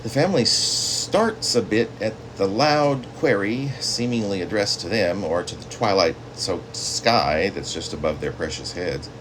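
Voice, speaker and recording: A man reading his own literary story as a poet, with theatrical, drawn-out phrasing and dramatic emphasis. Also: lowish voice, lively intonation, noisy background.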